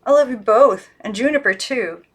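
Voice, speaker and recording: A woman voicing a lonely young girl who speaks plainly and gently, in a storybook reading. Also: fairly high pitch, moderate pace, pitched above the performer's own voice, natural voice. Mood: tender, wistful. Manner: plain, gentle, short answers. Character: Maggie, lonely young girl, tender and matter-of-fact.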